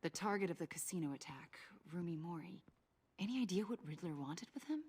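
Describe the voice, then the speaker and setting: An actress playing an eager, chatty intelligence agent in a video game, breezy and conspiratorial. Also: female voice, on the low side, lively intonation, brisk, a little mellow, slightly rough. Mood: eager, confiding. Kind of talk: dramatic video game acting.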